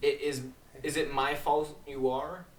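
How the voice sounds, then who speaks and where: A man's voice: a little high, level tone, measured pace, close to an everyday voice. A man voicing a prim, indignant, haughty female pony cellist in an amateur story reading. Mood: accusing. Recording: echoey, distant mic, faint noise.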